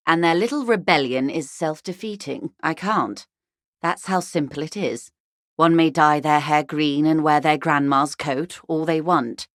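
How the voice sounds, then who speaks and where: A woman's voice: deep voice, no echo, clean studio sound. An actress playing a wealthy, worldly older woman in a video game, articulate, arch and wryly condescending. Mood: coolly dismissive. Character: wealthy, worldly older woman, urbane, wry, intellectually vain. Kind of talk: video game character dialogue.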